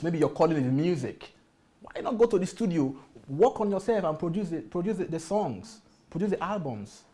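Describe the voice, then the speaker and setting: A man's voice: a little high, warm tone. Guy teaching a workshop, punchy and repetitive, keeps asking the room questions. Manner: emphatic, repeats key phrases, asks the audience rhetorical questions. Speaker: workshop speaker. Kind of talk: motivational workshop talk.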